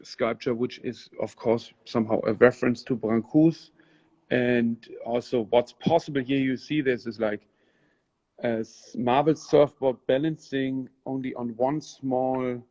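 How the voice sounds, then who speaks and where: A man's voice: mid-range voice, steady tone, a little slow, dark tone, dull audio. A man hosting a casual live interview for a gallery, asking long, rambling questions with restarts. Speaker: gallery host.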